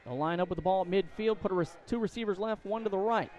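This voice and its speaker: Guy doing live high school football radio play by play, high voice, excitable, outside at the stadium. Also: fast talker, dull audio. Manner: excitable, voice climbs to shouts on big plays. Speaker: play-by-play sports announcer.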